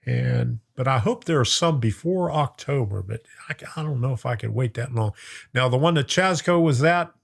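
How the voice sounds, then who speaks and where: A man's voice: mid-range voice, lively intonation, moderate pace, creaky, breathy edge. Middle-aged male streamer, mid-range voice going tired, easygoing chat with viewers. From lives in Florida. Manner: folksy, chuckling, talks to viewers by name. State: voice getting worn out. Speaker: host of a live stream on model trains. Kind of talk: casual live-stream chat reading viewer comments.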